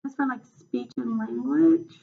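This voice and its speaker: A woman with a raspy voice answering questions as a patient in a role-played clinical interview, hesitant with many fillers and restarts. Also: high voice, wildly swooping pitch, unhurried, phone-quality sound, a little hiss or hum. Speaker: mock patient in a role-play case study.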